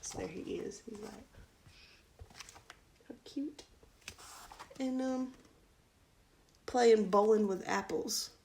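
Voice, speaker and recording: Female storyteller for a children's book live stream, medium pitch, informal and chatty. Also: slow pace, vocal fry, long pauses, mellow, slight background noise. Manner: chatty asides to viewers. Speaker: read-aloud storyteller.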